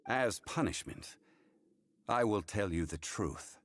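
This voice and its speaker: An actor playing a calm, stern, formal man who upholds contracts in a video game, speaking in a measured, solemn way. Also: lowish voice. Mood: grave, measured.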